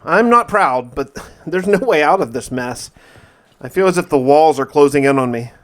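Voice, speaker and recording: Theatrical man with a higher-pitched voice. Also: expressive. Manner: theatrical, emphatic, with drawn-out words. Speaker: gaming video host. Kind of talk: dramatic first-person survival diary narration of gameplay.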